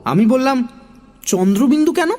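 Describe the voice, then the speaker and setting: A man narrating a dramatised children's story in an expressive way, acting out puzzled lines. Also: very high-pitched, normal speed.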